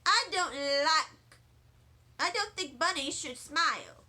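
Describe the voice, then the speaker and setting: A cheeky, stubborn little first grader from a storybook, played by a woman. She speaks bluntly and emphatically in a high childlike voice with lively swings of pitch. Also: slow pace, full character voice. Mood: insistent.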